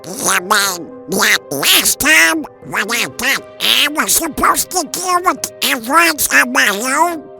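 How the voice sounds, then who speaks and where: A performer voicing a grumpy, sarcastic male duck superhero in a comic dub, with a creaky, sharp voice. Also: high voice, expressive, takes it slowly, hardly any pauses, soft background music, exaggerated voice. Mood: exasperated, sarcastic. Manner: sardonic, complaining.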